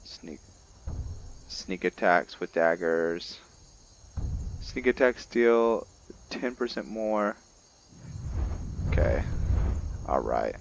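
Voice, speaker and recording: Casting: male gamer, low-pitched voice, casual play-by-play, thinking aloud, faint noise and crickets behind. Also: animated, drawn-out words, creaky, pauses often. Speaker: gamer narrating roleplay playthrough. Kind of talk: casual game commentary.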